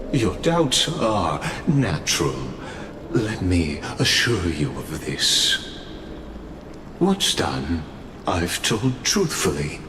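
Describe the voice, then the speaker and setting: A man voicing a composed, solemn, cryptic elder watcher in a video game, with formal, theatrical, measured delivery. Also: deep voice, echoey room, background noise, heavily acted. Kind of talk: scripted video game voice acting.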